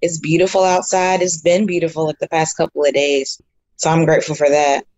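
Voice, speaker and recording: A young woman, a university student, giving brief, soft-spoken answers as a podcast guest. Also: low-pitched, level tone, a little fast. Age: young adult. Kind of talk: casual podcast interview.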